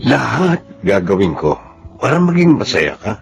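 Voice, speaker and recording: An actor playing a moneyed, flirtatious seducer in a Filipino melodrama, suave and wooing with a Filipino accent. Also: male voice, medium pitch, sing-song, normal speed, vocal fry. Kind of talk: melodramatic Filipino serial drama acting.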